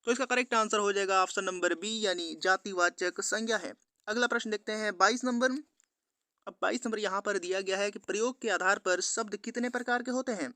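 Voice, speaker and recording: A man's voice: high voice, fairly quick, pushed and bright, smooth, no room sound. A man presenting an exam-prep quiz lesson, reading out questions and answers in an instructional, repetitive way to viewers. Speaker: exam-prep teacher on a study channel.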